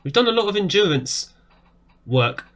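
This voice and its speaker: A man in his thirties talks through a football management game from his desk at home. He is chatty and eager, rising sharply whenever a goal goes in. His voice sounds worn and a little hoarse from talking for so long. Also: high voice, moderate pace. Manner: excitable, jumps up when goals go in. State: voice going hoarse. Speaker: game streamer. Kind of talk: casual gameplay commentary. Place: small room indoors. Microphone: webcam framing at desk.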